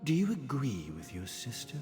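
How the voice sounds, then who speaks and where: An actor playing a gentle, theatrical guardian figure talking to children in a measured, indulgent, storytelling way for a video game. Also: medium pitch, huge pitch swings. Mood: indulgent, musing. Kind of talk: scripted video game voice acting. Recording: long hall reverb under clear music.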